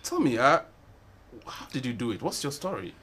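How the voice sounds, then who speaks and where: A man's voice: mid-range voice, big pitch swings, normal speed, fairly bright tone, breathy edge. A man in his thirties hosting a TV studio interview, asking probing questions and often repeating words as he restarts. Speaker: TV talk show host. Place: TV studio set.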